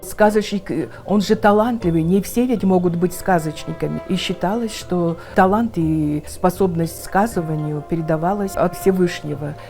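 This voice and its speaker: A woman's voice: on the low side, normal speed, few pauses, darkish tone, faint music behind. A woman interviewed as an expert, explaining things in an unscripted way. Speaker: interviewed expert on folk tales.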